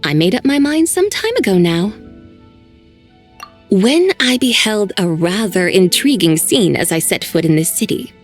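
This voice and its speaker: A woman's voice: mid-range voice, lively intonation, measured pace, soft background music, lightly acted. An actress voicing an ancient, aloof female mountain spirit who talks in formal, old-fashioned phrasing with dry teasing. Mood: reflective. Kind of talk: video game voice acting.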